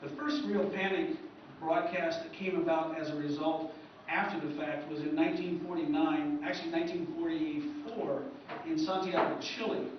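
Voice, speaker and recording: A man giving an informal history talk at a convention, conversational and halting, recorded from far off in an echoey room. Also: on the high side, steady tone, highs cut off, a little hiss or hum. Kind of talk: informal lecture.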